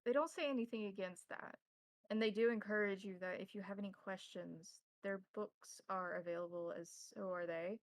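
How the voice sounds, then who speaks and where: A woman narrating a tabletop role-playing game as game master, in measured, formal wording. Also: medium pitch, no echo.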